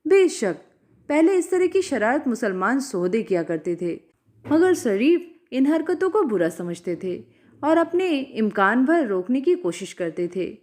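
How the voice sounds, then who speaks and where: A stern, zealous cleric voiced by a woman storyteller, commanding and preachy. The delivery swings in pitch as he justifies himself and lays down orders in an audiobook tale. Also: sing-song, a little slow, darkish tone. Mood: self-justifying.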